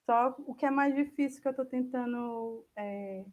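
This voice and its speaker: A Brazilian woman giving an informal online software demo, thinking aloud hesitantly as she presents and answers questions. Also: fairly high pitch, moderate pace, dull audio. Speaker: speaker giving an online talk.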